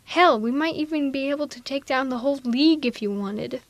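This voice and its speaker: A woman's voice: high voice, a little fast, no echo. A woman playing a sardonic but protective villainess in an intimate ASMR audio roleplay, coaxing one listener in a wry, persuasive way. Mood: persuasive, concerned.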